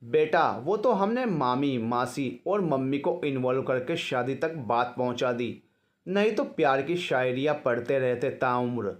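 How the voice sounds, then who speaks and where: A man's voice: medium pitch, lively intonation, a little slow, lightly acted. A man voicing a teasing young woman, a friend bantering in a romantic story.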